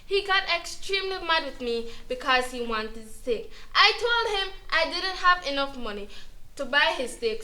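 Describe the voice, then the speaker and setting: Battered wife played by a woman: high, sharp-edged voice, earnest testimony in a legal drama. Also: exaggerated voice. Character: battered wife pleading earnestly before a judge.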